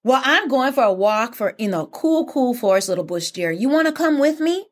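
Woman voicing a sly, insistent trickster spider fellow, high-pitched. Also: lively intonation, normal speed. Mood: falsely friendly. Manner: insistent, exaggerated storybook delivery. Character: lazy male trickster spider, sly and easily exasperated. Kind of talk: children's folk tale character voice.

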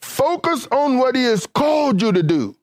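A male preacher with a notably high voice, delivering a sermon in fervent waves that climb and then drop back down. His talk stays casual and conversational, and the sound is close and dry with no room echo. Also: very animated, normal speed, darkish tone. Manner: fervent, rising and falling preaching cadence.

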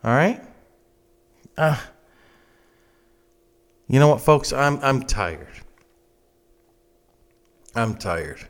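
A man in his late fifties with an American accent speaks as an opinionated political host. He takes his time, leaning on phrases and repeating them bluntly. His mid-pitched voice rises and falls widely as he makes each point. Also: big pitch swings, drawn-out words, long pauses. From United States. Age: 50s.

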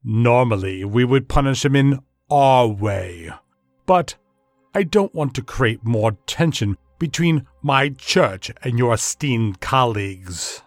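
A man voicing an ominous, sneering male high priest with a cold, haughty, slow menace. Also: lively intonation, dry recording, faint music behind. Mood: menacing.

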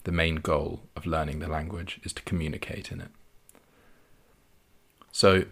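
A man hosting a podcast for English learners, explaining in a clear, measured way. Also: rumbling low, fairly even intonation, a bit bright. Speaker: podcast host and English teacher. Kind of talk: scripted podcast lesson for learners.

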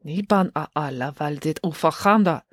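A woman's voice: deep voice, moderate pace, no room sound, clean studio sound. A woman voicing a stern, disapproving woman in a children's audiobook, curt and scolding.

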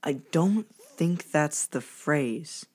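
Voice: medium pitch, lively intonation, drawn-out words, slightly rough, exaggerated voice. A female performer playing a polite, formal, skeptical young crew member in a children's audio drama.

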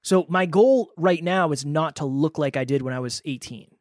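A man's voice: a little high, normal speed, no room sound, clean studio sound. A man in his thirties co-hosting a podcast, candid and self-deprecating in casual chat. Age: 30s. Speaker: podcast co-host.

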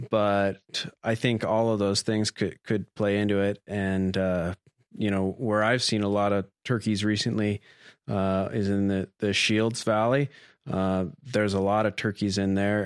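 Low-voiced young man, careful and hesitant. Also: smooth, dry recording, studio quality. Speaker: graduate student researcher, interview guest. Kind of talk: informal explanation of research.

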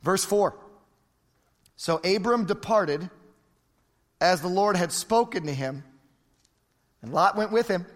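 A man's voice: sits high, expressive, slow, pauses often. Emphatic male preacher in a reverberant hall. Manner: emphatic, repeats phrases for effect. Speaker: pastor. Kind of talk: sermon.

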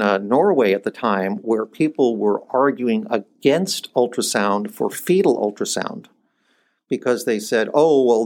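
An older man, a cardiologist guest, talking through his experience in a thoughtful interview, with many restarts and pitch lifts when he stresses a point. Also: a little mellow. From United States, born in Iceland. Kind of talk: conversational interview.

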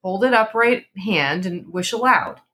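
Woman acting a grave, gruff soldier. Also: mid-range voice, expressive, noisy background, natural voice. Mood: gruff warning. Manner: solemn, gruff, slow. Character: grave, weathered old soldier, a male sergeant major.

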